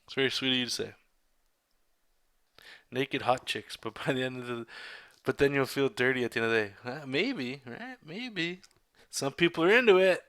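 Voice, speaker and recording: A man's voice: medium pitch, expressive, normal speed, long pauses, a bit bright, dry recording, studio quality. A man with a Canadian accent, chatting candidly with his livestream viewers while inking a comic, rambling and restarting his sentences. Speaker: artist streaming a drawing session.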